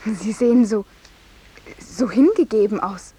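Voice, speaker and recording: A woman's voice: medium pitch, animated, unhurried, mellow, breathy edge. An actress playing a dreamy, naive and coquettish young general's daughter in a 1950s radio play, with a gushing girlish manner. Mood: curious, admiring.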